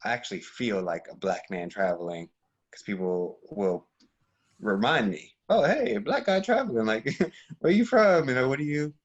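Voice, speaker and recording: A young American man talking as a podcast guest in a relaxed, reflective way, with fillers and restarts. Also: medium pitch, normal speed. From United States. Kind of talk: casual conversation. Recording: dull lo-fi sound.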